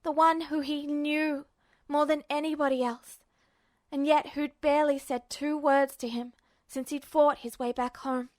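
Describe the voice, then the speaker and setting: A woman reads a fan story aloud in an emotive, measured way. Her voice sits high. The recording is dry and close. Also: level tone, no echo. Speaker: podfic reader. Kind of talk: audiobook narration of fan fiction.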